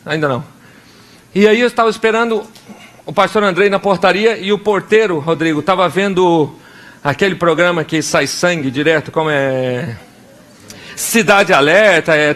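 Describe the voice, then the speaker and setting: A young Brazilian man with a Brazilian Portuguese accent preaching a sermon as pastor, animated, acting out little scenes and prayers in different voices. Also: high voice.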